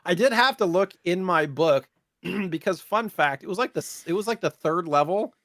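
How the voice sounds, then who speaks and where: Casual podcast guy, slightly higher voice. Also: expressive, moderate pace, a bit bright, no echo, studio quality. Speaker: podcast co-host. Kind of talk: casual gaming podcast chat.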